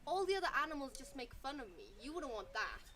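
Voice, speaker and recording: A woman's voice: high voice, very animated, fast talker, sharp tone, full character voice. A woman voicing a young, lonely male sheepdog in a children's story, speaking in an earnest, self-deprecating way. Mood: dejected.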